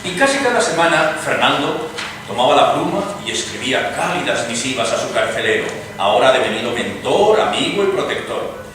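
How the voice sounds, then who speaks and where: Man as animated mocking storyteller, very echoey. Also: medium pitch, a little fast, natural voice. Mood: mocking, ironic. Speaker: narrator. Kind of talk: dramatized scripted narration. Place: live reading in a reverberant venue. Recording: echoey room from far off, clear noise.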